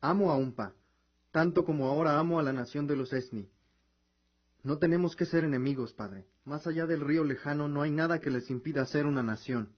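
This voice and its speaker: A man's voice: a little high, brisk, close to an everyday voice. A man playing an earnest, idealistic young prince and son in a scripted audio drama. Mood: earnest pleading. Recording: dull lo-fi recording.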